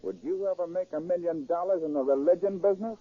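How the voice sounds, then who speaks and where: Slick persuasive crook, highish husky voice, casual and cajoling, old 30s radio drama. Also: male voice, quick pace. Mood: coaxing. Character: brash, persuasive crook tempting his straitlaced brother. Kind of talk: 1930s radio drama acting. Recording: tinny, scratchy 1930s radio recording.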